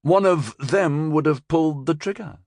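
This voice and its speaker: A man's voice: on the high side, normal speed, a little mellow, no echo, studio quality, voice pushed up, lightly acted. A man voicing a cool, blunt female security director who talks in a clipped, firm, matter-of-fact way.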